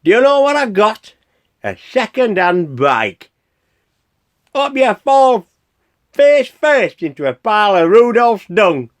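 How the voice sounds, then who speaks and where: A put-upon Santa, in a radio comedy. The voice is high and swings widely, with slow, theatrical delivery as he grumbles through his post. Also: sing-song, full character voice. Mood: exasperated, indignant. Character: exasperated Santa Claus wading through his fan mail. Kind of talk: radio play acting.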